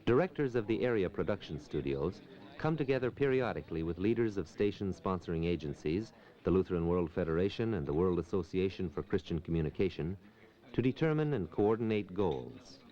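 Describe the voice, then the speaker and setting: A man's voice: low-pitched, animated, brisk, warm tone. A man reading scripted documentary narration, recorded on a muffled 1970s film soundtrack.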